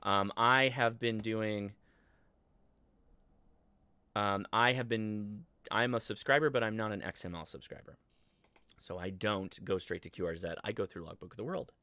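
An American man in his thirties talking through a casual livestream tutorial, conversational and halting as he thinks aloud. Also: lowish voice, bright, forceful tone, dry recording. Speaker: livestream host and ham radio instructor. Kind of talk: casual live tutorial with chat replies. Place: indoor home studio desk, livestream.

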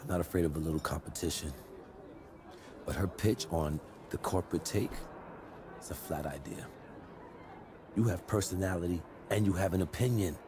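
An actor playing a proud, loyal middle-aged sports agent with a deliberate, streetwise, earnest delivery in a video game. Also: male voice, deep voice, background noise, close to an everyday voice. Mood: firm, persuasive. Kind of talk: dramatic game cutscene dialogue.

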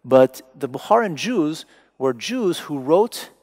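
A man whose mother tongue is Persian gives a conversational public lecture in English from a stage, searching for words and stressing them deliberately. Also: fairly high pitch, sing-song, a little mellow. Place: large dim hall with stage and seated audience.

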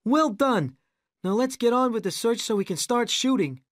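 A reader doing a bossy, shouting film director. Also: lively intonation, moderate pace, dry recording, studio quality, natural voice. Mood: angry shouting. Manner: loud, commanding, raised pitch. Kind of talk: audiobook character voice.

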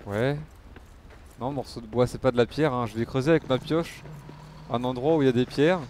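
A man's voice: mid-range voice, a little fast, noisy background. A man giving casual live game commentary, muttering to himself and swearing freely. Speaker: game streamer.

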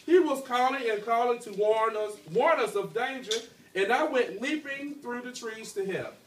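A man's voice: very high-pitched, normal speed. A man voicing a nervous monkey who blames others in a storybook folktale. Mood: nervous, defensive. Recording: echoey, noisy classroom, recorded from far off.

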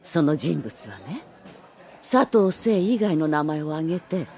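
A woman's voice: low voice, very animated, warm tone, full character voice. Playful elderly lady with swooping intonation. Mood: confiding. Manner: genteel, teasing, deliberately revealing. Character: playful elderly woman novelist, genteel and teasing. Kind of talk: anime audio drama acting.

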